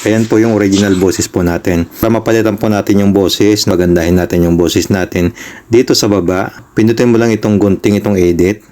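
A man's voice: fairly low pitch, fairly even intonation, fairly quick, dark tone. A man with a Filipino accent hosting a casual step-by-step tutorial in a polite, conversational, instructive manner. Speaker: tutorial host.

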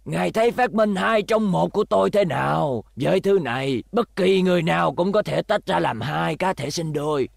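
A man voicing a proud, calm elderly inventor in a dubbed cartoon, measured and self-satisfied. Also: fairly high pitch, creaky, no echo, clean studio sound, heavily acted. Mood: smug.

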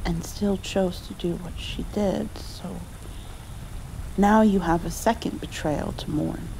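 Woman playing a slow, gentle, comforting mom. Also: lowish voice, steady tone, gravelly, background noise. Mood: gentle, sorrowful. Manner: tender, soothing, sometimes dropping toward a whisper. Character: loving, gentle mother comforting her heartbroken grown child. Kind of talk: ASMR comfort roleplay, slow and hushed with pauses.

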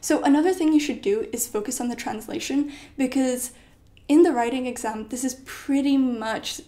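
A woman's voice: sits high, normal speed, mellow. A young woman in her late teens, a native Polish speaker, giving casual study advice in a chatty way full of "like" and "you know", filmed in her bedroom. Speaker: study vlogger. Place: bedroom at home.

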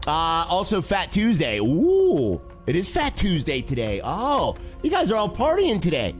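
A man with a raspy throat, streaming a game casually and chatting with viewers as host. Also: high-pitched, a little slow, faint music behind. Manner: animated, talks to chat and reads comments aloud.

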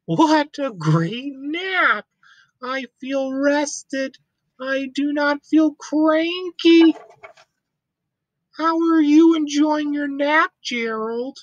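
A man voicing an excitable young female pig in a children's picture book, with exaggerated, childlike, drawn-out delivery. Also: very high-pitched, no room sound, lo-fi sound, voice pushed up, exaggerated voice. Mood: pleased, rested. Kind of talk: picture book read-aloud.

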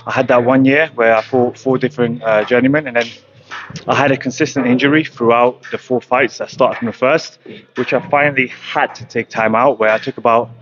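A young man from Croatia, a professional boxer, speaking in a matter-of-fact way with many pauses and ums in an interview at a gym. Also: mid-range voice, fairly even intonation, fairly bright tone, muffled recording. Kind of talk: casual interview. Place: boxing gym, indoors. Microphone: handheld mic held close.

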